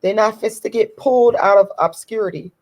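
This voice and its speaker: A woman with a hoarse, deep voice, giving a blunt, emphatic opinion monologue to her online audience and repeating her key points. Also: brisk, a little mellow. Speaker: YouTube commentator.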